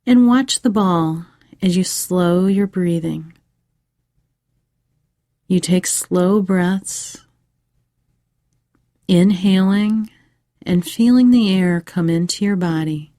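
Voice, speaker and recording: Slow, mellow woman guiding a children's meditation. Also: lowish voice, dry recording, studio quality. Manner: slow, measured, soothing delivery with pauses. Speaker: guided meditation narrator for children. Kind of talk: scripted guided meditation narration.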